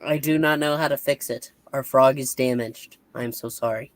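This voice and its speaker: A man hosting a casual review chat, rambling and halting as he corrects himself. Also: mid-range voice, fairly even intonation, dry recording. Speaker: host.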